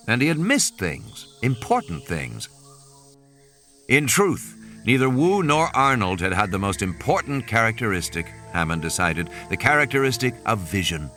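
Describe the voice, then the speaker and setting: Male audiobook narrator, animated and forceful delivery of scripted fiction, faint music behind. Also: mid-range voice. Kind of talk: scripted dramatic novel narration. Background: music and sound effects.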